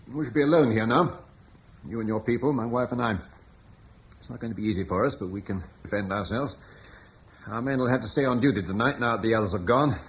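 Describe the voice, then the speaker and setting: A man's voice: medium pitch, close to an everyday voice. A man playing a steadfast, commanding lord. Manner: measured, resolute, dryly ironic. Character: steadfast Romano-British lord, calm, dutiful. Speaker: lord of the household. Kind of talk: radio drama acting. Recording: old broadcast with faint hiss.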